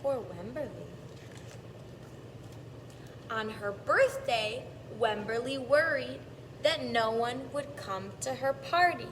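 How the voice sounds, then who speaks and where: A woman reading a storybook aloud to preschoolers in a deliberate teacher's manner, stretching out repeated words and pausing to explain. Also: fairly high pitch, a bit bright. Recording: echoey, distant mic, clearly noisy background.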